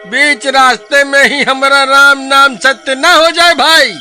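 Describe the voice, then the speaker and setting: Comic cartoon village man: extremely high voice, unhurried folksy sarcasm, grumbling and cheeky. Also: fairly even intonation, exaggerated voice. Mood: wry worry. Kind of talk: comic Hindi cartoon acting. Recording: loud music, insect-like sounds behind.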